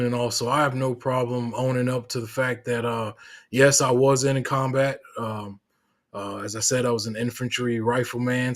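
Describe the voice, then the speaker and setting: A man who is a Marine veteran, a guest in a casual interview, speaking in a measured way with many pauses and restarts. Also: mid-range voice.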